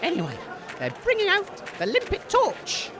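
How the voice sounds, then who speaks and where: A British man playing a cheerful, naive radio host, earnest and excitable, giving mock event commentary in an audio comedy. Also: extremely high pitch, normal speed, some chatter behind, a little hiss or hum, exaggerated voice. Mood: cheery commentary. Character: cheerful naive male radio host, gently chiding his co-host.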